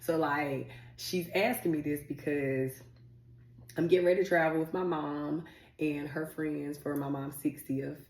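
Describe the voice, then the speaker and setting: A woman's voice: low-pitched, fairly even intonation, darkish tone. A woman co-hosting a podcast in casual chat, talkative and teasing, getting over a cold. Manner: chatty, fast-talking, playful teasing. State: recovering from a cold. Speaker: podcast co-host. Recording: echoey room.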